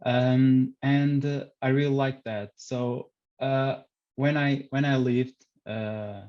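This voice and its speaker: A man's voice: medium pitch, steady tone, slow, highs cut off. A young man with a Portuguese accent, a podcast guest answering interview questions with many hesitations and restarts. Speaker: podcast guest, frontend developer.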